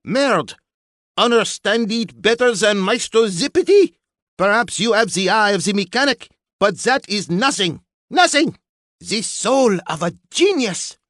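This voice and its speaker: A man's voice: sits high, expressive, measured pace, fairly bright tone, no echo, studio quality, pitched above the performer's own voice. A man voicing a temperamental male designer who speaks broken English with haughty, explosive scorn. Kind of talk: audiobook character voice.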